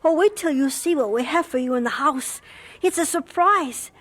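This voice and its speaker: A woman playing an eager, cheeky young Chinese pupil with an excited, blunt, teasing manner in a radio drama. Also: high voice, normal speed.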